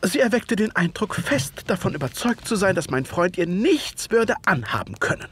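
An actor playing an observant gentleman who narrates a detective case in an audio drama, literary and suspenseful. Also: high-pitched, sing-song, normal speed, slight background noise.

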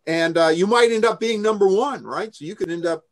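An older man with a fairly high-pitched voice presents informally. He explains in a conversational way, taking questions over a video call. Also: brisk. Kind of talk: informal briefing and question answering. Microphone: video call microphone.

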